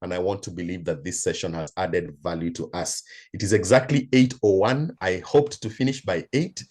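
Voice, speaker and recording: A man with a Kenyan accent giving a motivational talk as a coach, deliberate and emphatic, laying out his points in order. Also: sits low, darkish tone. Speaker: guest speaker and coach. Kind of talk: motivational talk in an online session.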